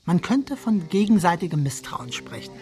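A wry, betrayed husband, dramatically animated. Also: male voice, sits high, moderate pace. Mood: brooding, wry. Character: jealous, self-ironic betrayed husband, a stage magician. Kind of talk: literary radio drama monologue.

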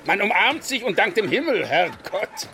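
An actor playing a blunt, authoritative knightly gentleman in a radio play. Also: high-pitched, sing-song, normal speed, a little hiss or hum, full character voice. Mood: commanding. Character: commanding knightly gentleman among rough townsfolk. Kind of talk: German radio play acting.

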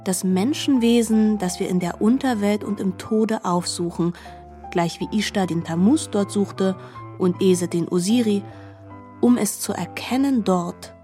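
A solemn female narrator of a mythic radio play, voiced by an actress, speaks in a soft, mellow timbre. The delivery is lofty, contemplative and measured, with faint music underneath. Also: medium pitch, lightly acted. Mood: solemn, musing. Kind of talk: literary radio drama narration.

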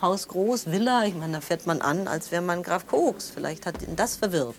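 An actress playing an opinionated sister in a naturalistic audio drama, talking chattily with halting restarts and tag questions. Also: female voice, fairly low pitch, animated, slight background noise. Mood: dismissive gossip. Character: animated, opinionated sister of a wealthy man, accusing.

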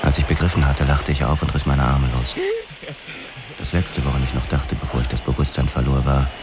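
Very deep male voice, hard-boiled ex-boxer cop, terse grim noir radio narration, music behind. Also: flat delivery, a little fast, a crowd in the background. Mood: dry, reflective. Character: hard-boiled boxer turned Los Angeles policeman narrating his past. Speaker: narrator and lead actor. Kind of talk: scripted first-person noir radio drama narration. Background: dramatic music.

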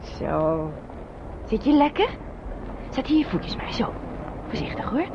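A woman's voice: a little high, very animated, measured pace, vocal fry, exaggerated voice. An actress playing a resourceful, caring young Frenchwoman who takes charge in a wartime radio drama. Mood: coaxing, motherly. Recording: dull, noisy old radio play recording.

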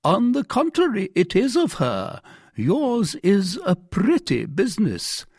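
A man's voice: high-pitched, wildly swooping pitch, takes it slowly, darkish tone, husky, dry recording, studio quality, pitched above the performer's own voice, heavily acted. A man voicing a stern police commissary in a storybook reading, accusing and giving orders in a commanding manner. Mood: scornful accusation.

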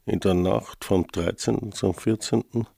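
An actor playing a menacing anonymous letter writer in a radio play, with a gravelly, husky, dark voice and ominous delivery. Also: male voice, on the low side, steady tone, a little slow. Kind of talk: German-language radio play acting.

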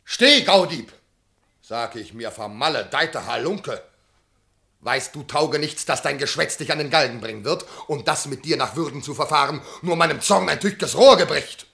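An actor playing an irascible, incredulous master who commands imperiously in a classical German radio play. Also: male voice, fairly high pitch, lively intonation, moderate pace, gravelly, pushed and bright, full character voice. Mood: furious. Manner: imperious, theatrical declamation.

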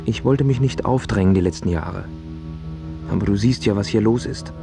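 An actor playing an ailing, self-pitying failed pop singer who pleads and justifies himself in a radio drama. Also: male voice, on the low side, normal speed, creaky, music in the background. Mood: forlorn.

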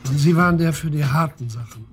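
An actor playing a terse, sceptical police detective who puts curt, probing questions in a radio drama. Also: male voice, fairly high pitch, fast, faint music behind, lightly acted. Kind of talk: German radio drama acting, interrogation dialogue.

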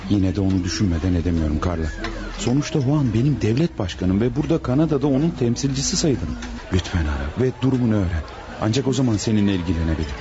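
A man's voice: lowish voice, lively intonation, quick pace, natural voice. A male actor in a radio play as a two-faced colonel and secret plotter, anxious and prone to nervous hesitation. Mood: distracted, worried. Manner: nervous, stammering when caught off guard. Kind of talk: radio theater acting.

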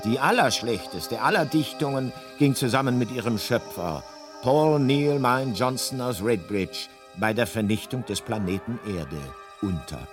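An actor playing a matter-of-fact talking guidebook, narrating a radio drama in a measured, deadpan way. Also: male voice, medium pitch, close to an everyday voice. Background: clear music.

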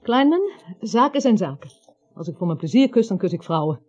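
A woman's voice: mid-range voice, very animated, fairly quick, darkish tone, husky. An actress playing a dreamy yet streetwise woman in a radio play, with a musing manner of speaking. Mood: businesslike. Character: dreamy, streetwise woman philosophising, frankly businesslike. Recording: muffled old radio play, music behind.

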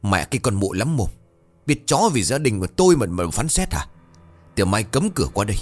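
Audio-story role: bullying village brother played by a male narrator, coarse, barking, lively swings in pitch, faint music behind. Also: a little fast. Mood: contemptuous rage. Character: menacing, foul-mouthed hot-tempered village man, bullying brother. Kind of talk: dramatic audio-story dialogue.

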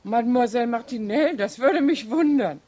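A woman's voice: fairly high pitch, lively intonation, brisk, warm tone. An actress in a 1970s German radio play playing a chatty, falsely naive cleaning woman who feigns discretion. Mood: innocent gossip. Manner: chatty, mock-innocent.

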